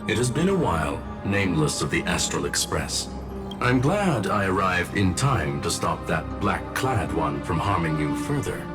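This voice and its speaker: An actor playing a refined, courteous robot gentleman in a video game, speaking in a formal, measured and analytical manner. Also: low voice, very animated, loud music behind the voice. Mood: courteous, composed. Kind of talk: scripted video game voice acting.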